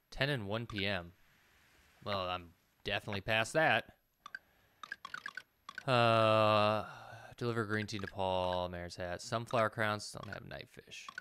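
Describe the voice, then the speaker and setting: A man's voice: on the low side, sharp tone, a little hiss or hum. A man in his thirties giving casual let's play commentary, thinking aloud and muttering to himself as he plays. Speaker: gaming video host.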